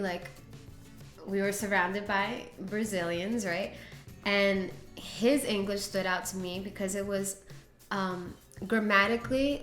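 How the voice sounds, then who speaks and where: A woman hosts a casual chat, speaking informally with lots of "like". Her pitch is average, and the recording has noticeable room echo with music in the background. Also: fairly bright tone. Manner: informal, peppered with "like". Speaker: interviewer, host.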